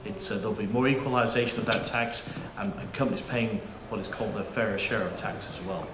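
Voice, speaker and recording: A man's voice: lowish voice, fairly even intonation, fairly quick, a crowd in the background. Chatty man in an echoey auditorium. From United Kingdom. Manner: chatty, jokey, frequent restarts and fillers. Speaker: panel moderator. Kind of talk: conference presentation. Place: conference auditorium. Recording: echoey auditorium, faint room noise.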